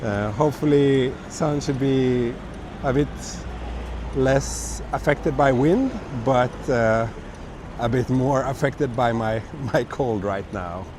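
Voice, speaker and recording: A man with a hoarse, slightly broken voice talks in a relaxed, chatty way as he hosts a live walk. He is recorded outdoors on a small clip-on mic, with city traffic clearly audible behind him. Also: mid-range voice, slow pace. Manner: relaxed, chatty, reads out viewer comments. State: hoarse from a cold. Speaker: livestream host. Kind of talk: live walking stream chat. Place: busy city streets outdoors at night. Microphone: small wireless clip-on mic. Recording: outdoor street noise. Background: city traffic and street noise.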